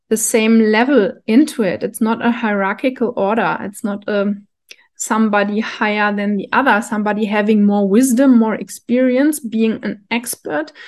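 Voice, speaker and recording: Woman, kinda high voice, talks real slow and thoughtful, little soft laughs. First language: German. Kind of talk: reflective solo talk.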